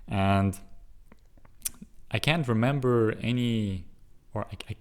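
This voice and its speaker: A young man from Switzerland, with English and Russian as his first languages, talks to the camera in a relaxed, rambling way. His voice sits on the low side, and he slows right down to sound out tricky foreign phrases before drifting back into easy chat. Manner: careful and halting on hard foreign words, chatty and musing otherwise. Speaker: language-learning vlogger. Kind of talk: casual solo vlog.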